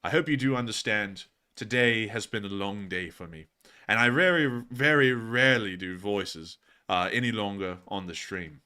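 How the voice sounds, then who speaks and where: A man's voice: on the low side, normal speed, pushed and bright, smooth. A man from Alabama putting on a posh British accent as he hosts a live stream, answering viewer messages in a wordy, formal, mock-pompous manner. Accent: put-on posh British. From Alabama, United States. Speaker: livestream host.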